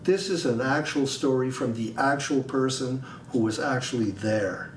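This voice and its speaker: A man's voice: mid-range voice, moderate pace. Distant man in echoey room. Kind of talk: interview comment. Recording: echoey room, off mic, background noise.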